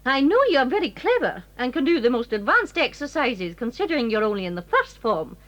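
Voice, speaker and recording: An actress with a British accent playing a bossy, exasperated games mistress who scolds and commands in an audio drama. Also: female voice, high voice, sing-song, brisk, a bit bright. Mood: indignant. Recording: slightly dull lo-fi recording.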